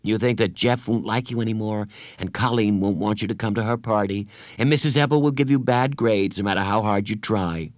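A man voicing a kind, patient female school counselor in an audiobook, with a gentle, earnest, reassuring manner. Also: lowish voice, a little fast, no room sound, clean studio sound, lightly acted.

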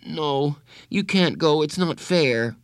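A man's voice: on the high side, slow pace, no room sound, studio quality, voice pushed up. A man voicing an upset, stubborn schoolboy in an audiobook, whining and defiant. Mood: pleading, upset. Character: upset, stubborn schoolboy feeling betrayed and angry.

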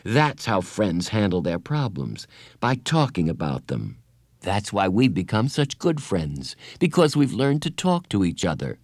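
Man reading as a gentle, patient woman counselor. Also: on the low side, huge pitch swings, measured pace, dry recording, studio quality, exaggerated voice. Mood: concerned, patient. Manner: gentle, earnest, reassuring. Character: kind, patient young female school counselor, gently encouraging.

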